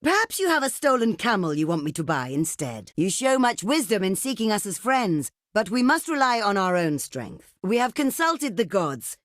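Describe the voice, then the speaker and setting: Casting: actress as proud desert queen, video game. Theatrical, haughty, courtly diction, very animated intonation, dry close studio sound. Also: mid-range voice, moderate pace, hardly any pauses, no room sound, close to an everyday voice. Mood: cool refusal. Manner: haughty, theatrical, formal and ornate phrasing. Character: proud, imperious ancient desert queen, scornful and grandly courteous. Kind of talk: video game diplomacy voice lines.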